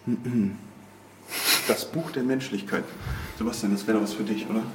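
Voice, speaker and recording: A man's voice: lowish voice, fairly even intonation, moderate pace. A man hosting a podcast on location indoors, commenting casually and jokingly on his surroundings. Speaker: podcast host. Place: indoor office corridor. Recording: noisy on-location ambience.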